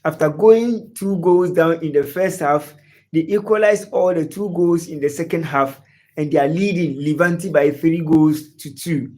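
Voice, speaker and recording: A man's voice: a little high, a little slow, darkish tone. A man from Ghana with a Ghanaian English accent hosts a live football chat. He greets viewers by name and asks the same questions again and again. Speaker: sports livestream host. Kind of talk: live sports chat reading viewer comments.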